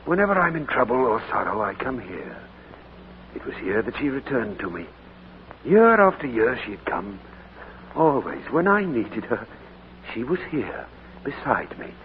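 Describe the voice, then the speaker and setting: An actor in a 1940s radio drama playing a grieving older man who speaks in a hushed, sorrowful way. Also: medium pitch, wildly swooping pitch, a little slow, pauses often, a little hiss or hum. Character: grieving older man recalling lost love. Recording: tinny old radio broadcast with music.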